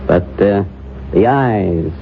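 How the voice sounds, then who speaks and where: A man's voice: fairly low pitch, lively intonation, slow, vocal fry, heavily acted. An actor playing an easygoing male makeup artist in a 1970s radio drama, chatty and muttering to himself as he works. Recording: thin phone-like old radio with hiss.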